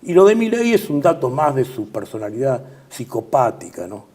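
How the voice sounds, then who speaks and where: An older man with an Argentine Spanish accent giving measured political commentary as an interview guest, trailing off at the ends of phrases and filling pauses with "este". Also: medium pitch, very animated, a little mellow, breathy edge.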